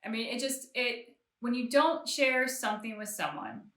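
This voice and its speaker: Bright, echoey woman in casual discussion. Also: a little high, expressive, normal speed. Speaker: co-host of a reaction show. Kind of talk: casual discussion of a game story. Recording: roomy, echoey home-recorded sound.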